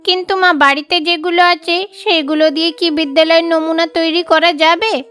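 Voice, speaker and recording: A woman's voice: very high-pitched, steady tone, normal speed, a bit bright, faint music behind, pitched above the performer's own voice. A woman voicing an earnest young sparrow schoolgirl in a children's cartoon. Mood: worried.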